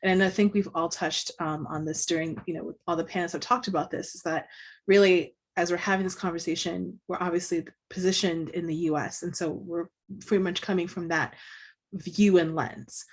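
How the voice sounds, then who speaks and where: Earnest young Tanzanian-born woman, lowish voice. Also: fairly even intonation, normal speed. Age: young adult. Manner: thoughtful, earnest, reflective. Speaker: panelist. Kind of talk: panel discussion.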